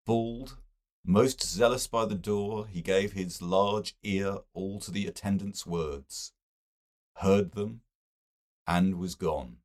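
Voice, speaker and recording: A man giving an expressive, deliberate reading of a literary novel. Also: sits low. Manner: deliberate, measured delivery.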